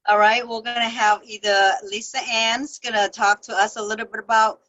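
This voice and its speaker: A woman's voice: on the high side, level tone, normal speed, sharp tone, muffled recording. A woman hosting an online show, speaking in a casual, loose way. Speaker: host.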